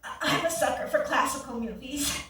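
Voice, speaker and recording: A woman on stage playing a friendly, bookish nurse on a date, speaking in an eager, halting way in a staged play reading. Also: sits high, fairly bright tone. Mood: delighted. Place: theatre stage, recorded from the audience. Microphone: far camera. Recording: echoey theatre, distant camera, faint noise.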